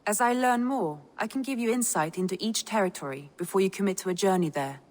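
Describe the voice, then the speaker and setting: A woman's voice: mid-range voice, lively intonation, quick pace, a little mellow, in a large hall, natural voice. A woman acting as a composed Viking advisor in a game, briefing in a formal, explanatory manner. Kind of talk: dramatic game dialogue.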